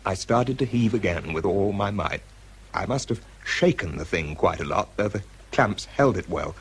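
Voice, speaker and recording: An actor with a British accent playing a tense, self-doubting wartime bomb disposal man in a radio drama, shifting between clipped reports and quiet confession. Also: deep voice, animated, normal speed, slightly rough, exaggerated voice. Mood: shaken, subdued. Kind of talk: radio drama acting, first-person narration and spoken report.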